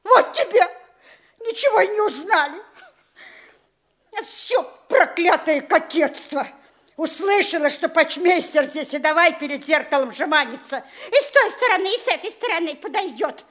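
A vain, excitable mayor's wife in a classic stage radio play, scolding and badgering in shrill bursts. Her very high voice leaps about wildly, delivered in an echoey room. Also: moderate pace. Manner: scolding, breathless, shrill exclamations.

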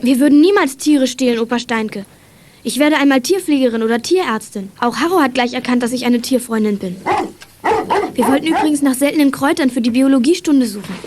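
A female actor playing a gentle, earnest, animal-loving schoolgirl detective in a children's radio play. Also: high voice, animated, brisk, noisy background. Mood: coaxing.

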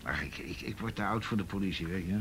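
An actor playing a weary, wry, middle-aged police officer in a Dutch radio play, dry and hesitant in manner. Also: male voice, low voice, fast, a bit bright, a little hiss or hum. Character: weary, wry older male police officer in youth affairs.

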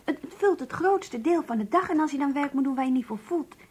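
A woman playing an earnest, lovestruck young girl in a radio play, emotional and yearning, with a breathy, husky voice. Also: high-pitched, lively intonation, a little fast, a little mellow. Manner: emotional, yearning, polite and shy. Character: lovestruck, earnest young schoolgirl graduate reading her diary. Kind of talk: Dutch radio play acting, diary narration and dialogue.

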